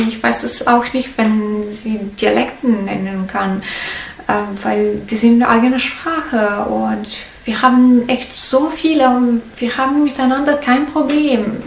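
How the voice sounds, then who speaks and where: A woman from Trentino with an Italian accent, explaining to her viewers in halting, self-correcting learner German. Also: medium pitch, a little hiss or hum. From Trentino, northern Italy. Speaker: vlogger. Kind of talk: casual explainer vlog. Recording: echoey room, mic at a distance.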